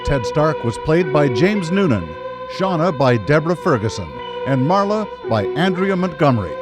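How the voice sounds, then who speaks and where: A man's voice: a little high, big pitch swings, normal speed. A man announcing scripted credits for a radio drama. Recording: prominent music under the voice.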